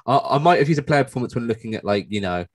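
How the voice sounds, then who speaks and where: A man's voice: mid-range voice, expressive, racing, no echo, clean studio sound. A man co-hosting a gaming podcast in casual chat, often stammering and repeating words as he starts. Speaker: podcast co-host discussing a video game.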